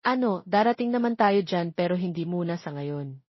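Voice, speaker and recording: A woman's voice: fairly low pitch, expressive, normal speed, no room sound. Narrator playing a sweet young woman in love, dutiful, Filipino accent, romance audio story, dry, slightly muffled. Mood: surprised, gently deferring.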